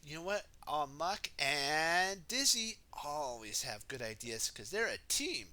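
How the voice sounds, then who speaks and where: A man with a very bright, forward voice reads a picture book to children. He sits a little high in pitch and takes his time, stepping out of the text for playful asides and repeating words for young listeners. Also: animated, unhurried. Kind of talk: animated read-aloud with ad-libbed asides.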